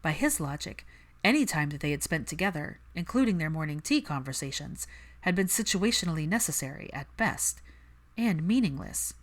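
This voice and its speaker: Female fiction narrator: slightly low register, lively melodic range, expressive story reading. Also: moderate pace. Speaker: audiobook narrator.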